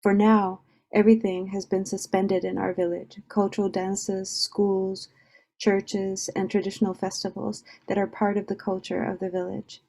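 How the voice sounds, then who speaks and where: A woman's voice: mid-range voice, flat delivery, moderate pace, creaky, dark tone. A woman reading a report aloud as part of a group reading over a video call.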